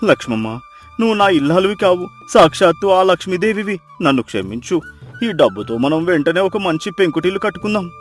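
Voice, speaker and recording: Male actor as contrite ex-miser husband in a moral tale; higher register, dramatic, earnest, music underneath. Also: expressive, fairly quick. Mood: remorseful. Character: repentant former miser husband, humbled and emotional. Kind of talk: Telugu moral story character acting.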